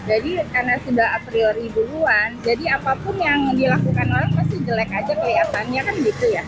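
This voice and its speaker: Female school principal, fairly high voice, conversational explainer, talking through an intercom on a motorbike ride. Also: expressive, brisk, heavy background noise. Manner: explanatory, uses colloquial tags and rhetorical questions. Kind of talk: casual conversation, explaining opinions. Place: on a ride-hailing motorbike on the road. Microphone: helmet intercom microphone.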